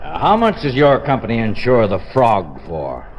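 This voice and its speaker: An actor playing a world-weary homicide lieutenant, blunt and terse, in a 1950s radio drama. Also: male voice, medium pitch, huge pitch swings, moderate pace. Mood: matter-of-fact. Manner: terse, blunt, clipped sentences. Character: world-weary, matter-of-fact male police homicide lieutenant. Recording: muffled vintage radio recording with music.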